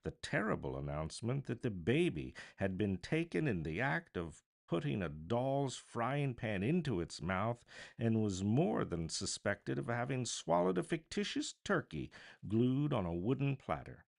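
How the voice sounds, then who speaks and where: A man reading a classic story aloud as a voice artist, with theatrical, expressive narration. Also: medium pitch, a little slow, creaky. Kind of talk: dramatic live audiobook narration of a classic novel.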